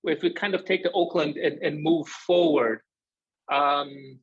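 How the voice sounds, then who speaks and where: A man moderating an academic discussion off the cuff, often pausing and searching for his words. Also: on the high side, slightly rough, highs cut off.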